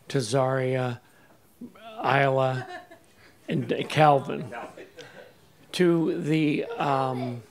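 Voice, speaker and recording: A man's voice: fairly high pitch, gravelly. A man in his sixties, the groom, giving a wedding toast with thanks and wry asides. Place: candlelit tent at a dinner party. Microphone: handheld mic.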